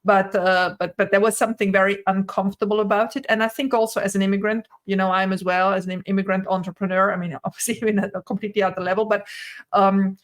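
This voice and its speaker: A woman's voice: mid-range voice, level tone, fast talker. Middle-aged woman, non-native English, explaining her views. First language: not English (English is her third language). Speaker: guest, retail investor commentator. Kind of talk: conversational opinion and analysis in an interview. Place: indoor room at home, on a video call. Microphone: video call.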